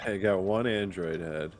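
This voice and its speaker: Deep-voiced wry man at a game table. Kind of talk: casual game table chat.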